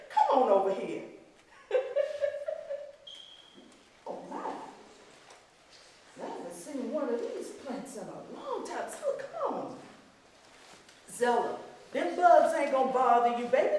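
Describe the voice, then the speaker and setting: A woman's voice: high-pitched, a little mellow, voice pushed up, exaggerated voice. A woman playing a loving, patient mother who coaxes her little girl with affectionate exclamations. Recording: echoey hall, mic far off.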